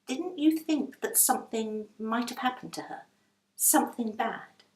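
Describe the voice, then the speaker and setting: A woman's voice: on the high side, a little slow, roomy sound, distant mic, pitched above the performer's own voice, natural voice. A woman voicing an anxious, determined young girl with earnest questions in a children's storybook.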